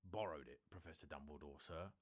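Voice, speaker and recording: A man's voice: very deep voice, brisk. A man voicing a huge, loyal giant in a storybook, plain-spoken and deferential. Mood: respectful.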